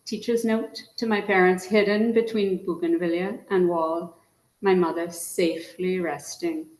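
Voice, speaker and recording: A woman from Trinidad reads her poetry at an unhurried pace. Her delivery is measured, and she often lets the ends of lines fall quiet. The sound carries a noticeable room echo. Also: medium pitch. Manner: slow, measured reading with soft, dropping line endings. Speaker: featured poet. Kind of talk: poetry reading. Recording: echoey room.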